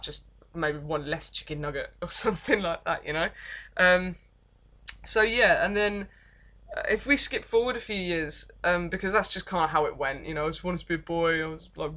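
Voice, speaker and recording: A young woman with an English accent and a deep voice, telling her life story in a rambling, self-deprecating vlog with many false starts. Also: normal speed, bright, forceful tone. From England. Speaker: vlogger. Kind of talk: casual confessional vlog, reading from notes.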